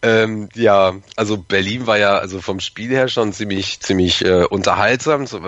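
A man with a hoarse voice, chatting casually as a podcast guest and rambling with many fillers. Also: lowish voice, fairly even intonation, normal speed, fairly bright tone, dry recording, lo-fi sound. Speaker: podcast guest, fan club member. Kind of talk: casual chat.

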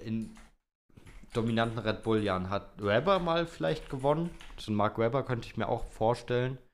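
A man's voice: mid-range voice, lively intonation, normal speed. A young man co-hosting a podcast, talking informally and rambling as he thinks aloud. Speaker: podcast co-host. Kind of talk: casual podcast chat.

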